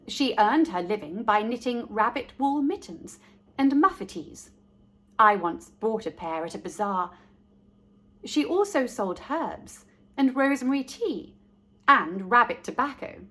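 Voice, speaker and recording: A woman reading a children's story aloud as host, speaking directly to young listeners in a deliberate way. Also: fairly high pitch, animated, a bit bright.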